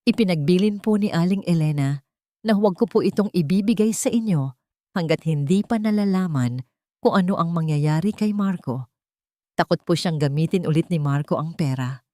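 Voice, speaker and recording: A woman's voice: on the low side, normal speed, warm tone, no echo, studio quality, natural voice. A woman voicing a loyal, secretive man in a drama story, cautious and respectful as he explains. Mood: earnest, explaining.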